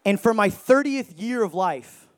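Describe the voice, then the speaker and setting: High-voiced energetic man preaching. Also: unhurried, clean tone. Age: 30s. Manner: energetic, joking, shouts for emphasis. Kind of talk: sermon.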